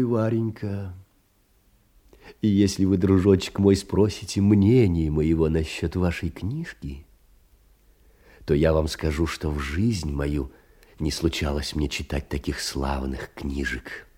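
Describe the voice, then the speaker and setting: An anxious, humble older clerk in a classic stage drama. His voice is husky and mellow, and he pours out folksy endearments with pleading, effusive tenderness. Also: deep voice, exaggerated voice. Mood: tender, musing. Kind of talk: theatrical stage monologue of a Russian classic.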